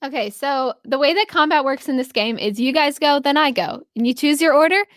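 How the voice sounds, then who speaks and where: Casual woman game master, high voice. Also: a little fast, no echo, studio quality. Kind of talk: running a tabletop roleplaying game, casual.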